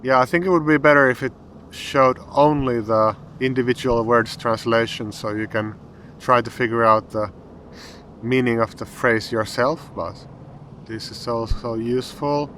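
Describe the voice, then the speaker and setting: A man with a Finnish accent, a language teacher calmly explaining an app as he tries it, thinking aloud with pauses. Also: medium pitch, a little hiss or hum. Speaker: language teacher and channel host. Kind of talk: explaining an app while trying it.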